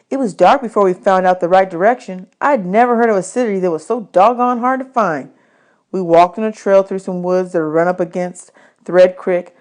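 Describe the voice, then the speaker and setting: A woman reads a children's novel aloud. She narrates in the first person as a storyteller, at a medium pitch. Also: darkish tone. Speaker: audiobook reader.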